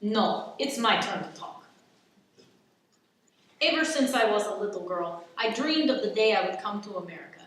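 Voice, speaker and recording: A woman's voice: mid-range voice, animated, moderate pace, fairly bright tone, lightly acted. A woman playing a vengeful, commanding Russian spy in a radio play. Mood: bitter. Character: defiant, vengeful female Russian spy holding captives. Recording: roomy echo, distant mic, faint noise.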